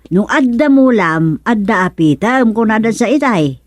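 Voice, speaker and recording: A woman's voice: on the high side, expressive, unhurried, dry recording. A woman playing an industrious, thrifty older village gardener in an Ilocano radio comedy drama, with an Ilocano accent. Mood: opinionated.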